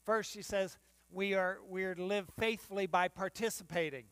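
An older man delivers a sermon from the front of a church, his voice pitched fairly high for a man. He talks on a close mic with a dry, clean sound, and he often restarts his phrases. A faint mains hum sits underneath. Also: steady tone, moderate pace, a bit bright, smooth, no echo. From United States. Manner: preaching while standing and gesturing, with frequent restarts. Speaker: pastor. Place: church sanctuary in front of the altar.

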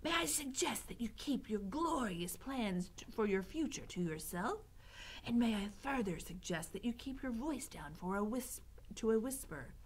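A sinister, suave storybook rat played by a woman reader speaks in a hushed, breathy whisper. He is mock-polite and condescending, choosing formal words with sly care. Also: on the high side, expressive, normal speed. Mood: impatient, condescending. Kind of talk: storybook character voice.

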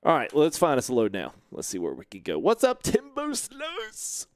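A man's voice: on the high side, huge pitch swings, normal speed, dry recording, studio quality. A man in his thirties hosting a casual gameplay livestream from a home sim rig, chatting loosely, laughing often and greeting viewers through a headset mic. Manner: laid-back, chatty, laughs often, reads chat aloud. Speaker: streamer.

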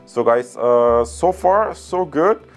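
A man's voice: on the high side, lively intonation, takes it slowly, faint music behind. A man casually reviewing and unboxing a gadget indoors, chatty and full of fillers. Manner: chatty, addresses viewers as guys, many fillers. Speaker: tech reviewer. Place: indoor room with wooden table.

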